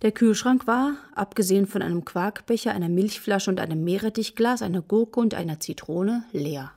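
A woman's voice: mid-range voice, moderate pace, a little mellow. A woman narrator reading scripted storytelling passages for a children's radio play.

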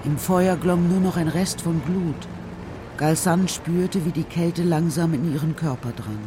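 A narrator reading a children's radio drama story in a measured, serious, literary style. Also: medium pitch, background noise.

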